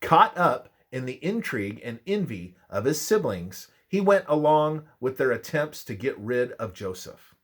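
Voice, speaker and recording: A man reading a scripted religious devotional aloud in a measured, deliberate manner. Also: medium pitch, big pitch swings. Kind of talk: scripted narration of a Bible study, read aloud.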